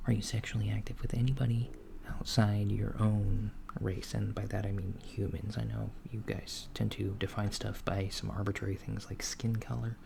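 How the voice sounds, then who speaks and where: A man voicing a calm alien doctor in a soft-spoken, half-whispered ASMR roleplay, gently asking a human patient clinical questions. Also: on the low side, moderate pace, gravelly, hardly any pauses, background noise. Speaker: ASMR creator.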